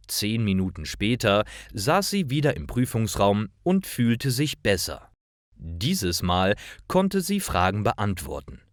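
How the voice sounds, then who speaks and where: Slow, low-pitched male story narrator. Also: no room sound, clean studio sound. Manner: deliberate, clearly articulated read-aloud. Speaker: narrator of German learners' stories. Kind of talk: slow scripted story narration for language learners.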